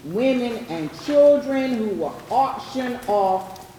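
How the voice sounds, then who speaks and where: A woman delivering scripted historical narration in a grave, deliberate manner. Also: a little high, expressive, warm tone. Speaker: readers theatre narrator. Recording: very echoey, distant mic, faint noise.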